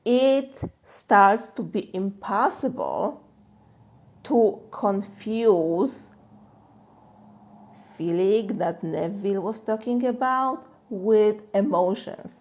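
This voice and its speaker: Woman, polish accent, life coach, unhurried, dull lo-fi phone audio. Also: medium pitch, animated, a little mellow. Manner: chatty, many fillers, laughs often. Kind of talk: live-stream Q&A.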